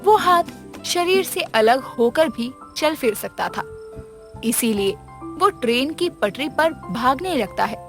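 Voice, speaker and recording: A woman's voice: high-pitched, fairly bright tone. A woman narrating a scripted village story in a dramatic storytelling style.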